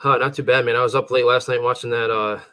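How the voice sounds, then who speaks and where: A man's voice: medium pitch, fairly even intonation, fast, sharp tone. A man co-hosting a casual sports podcast from his home computer setup, a little tired, rambling loosely with chuckles. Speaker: podcast co-host.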